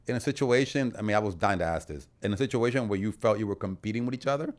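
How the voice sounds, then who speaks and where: A man's voice: on the low side, fast. A man hosting a podcast interview in casual chat, informal and slangy, jumping in often.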